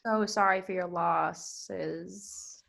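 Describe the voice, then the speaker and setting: A woman's voice: fairly low pitch, steady tone, drawn-out words, gravelly, fairly bright tone. A woman co-hosting an improvised mock true-crime podcast, casual and hedging in her delivery. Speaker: podcast co-host.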